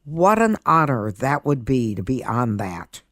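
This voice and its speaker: A woman's voice: rumbling low, very animated, measured pace, no echo, studio quality. A woman hosting a podcast, presenting and commenting in an emphatic way, often repeating words for stress. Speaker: guest podcast host.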